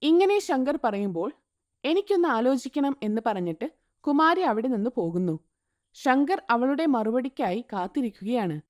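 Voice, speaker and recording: A woman narrating a scripted moral story in an expressive, sing-song way. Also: fairly high pitch, normal speed, no room sound, clean studio sound.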